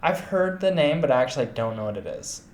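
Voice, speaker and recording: A man's voice: medium pitch, very animated, quick pace. A man chatting casually as a guest, with an informal, wryly joking way of talking. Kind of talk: casual chat. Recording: roomy, echoey indoor sound.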